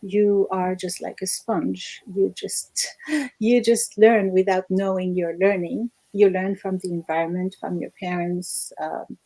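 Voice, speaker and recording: A woman, a language teacher, giving measured explanatory interview answers over a video call, with frequent ums. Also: mid-range voice, fairly even intonation, warm tone, no room sound, poor audio. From Algerian American, born in Morocco. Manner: measured, explanatory, many fillers.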